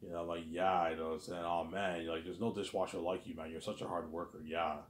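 A man's voice: deep voice, flat delivery, fairly quick, roomy sound. A man in his thirties rambling casually in a monologue to his viewers, repeating himself and stumbling over words. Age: 30s. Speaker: vlogger.

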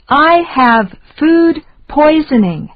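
A woman reading short phrases slowly and deliberately for learners to repeat. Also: high voice, expressive, dry recording, muffled recording. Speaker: English lesson reader.

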